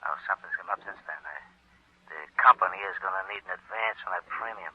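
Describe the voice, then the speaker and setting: An actor playing a shady insurance agent speaking curtly over a telephone filter in a radio drama. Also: male voice, low-pitched, fast, husky, no room sound. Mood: veiled. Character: shady, smooth-talking male insurance agent. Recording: tinny phone line on old radio.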